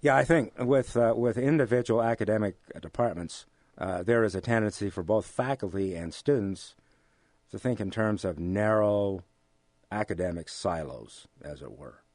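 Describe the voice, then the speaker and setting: An American man being interviewed, speaking in a halting, measured way with formal, academic wording. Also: on the low side, a little mellow, no room sound. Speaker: interview guest, amateur radio drama actor. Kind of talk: relaxed interview conversation.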